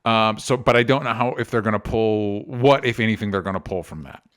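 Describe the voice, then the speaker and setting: A man's voice: on the low side, a little fast, clear, resonant tone. A man with an American accent chatting casually and informally about entertainment news as a podcast co-host, often qualifying what he says. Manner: informal, hedging explanations.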